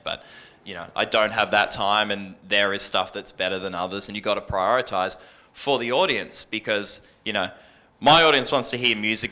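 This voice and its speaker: A man's voice: fairly low pitch, bright, forceful tone. A man giving earnest, conversational advice on a panel as a radio presenter, often rephrasing himself as he goes. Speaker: radio presenter and music programmer on an industry panel.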